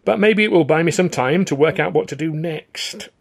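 A man plays a wily, affable music-hall company manager in an audiobook. He is brisk and polite, with a smooth patter pitched above the teller's low narration. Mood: rueful.

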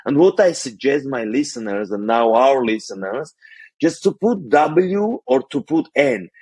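A man's voice: mid-range voice, very animated, unhurried, a little mellow, breathy edge, dry recording. A man from Armenia with an Armenian accent, a guest on an interview podcast, explaining money tips carefully with some non-native phrasing. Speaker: guest, financial coach.

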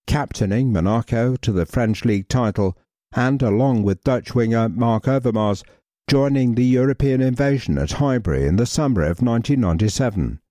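A man reading a football history article aloud in a measured, even way. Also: medium pitch, dry recording, clean studio sound. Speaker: reader.

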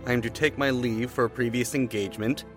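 A man playing a cold, vengeful gentleman murderer in a gothic audio drama trailer, grave and formal. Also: mid-range voice, fairly even intonation, fast talker, over music, close to an everyday voice.

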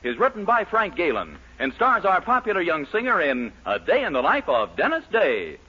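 A man's voice: high voice, huge pitch swings, moderate pace, breathy edge. A man announcing and narrating a 1940s radio comedy in a polished, lilting announcer manner. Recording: dull lo-fi 1940s radio broadcast.

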